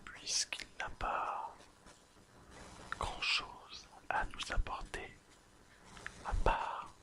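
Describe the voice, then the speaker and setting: A person whispering an ASMR recap in a breathy, unvoiced voice, speaking deliberately in short, separated phrases as the host. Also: rough recording. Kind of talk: whispered ASMR episode summary and commentary.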